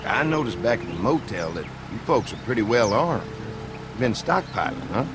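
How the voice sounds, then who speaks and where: An easygoing young dairy farmer in a video game. His Southern drawl is folksy and friendly, with lively swings in pitch. There is clear noise behind the voice. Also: moderate pace. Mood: affable.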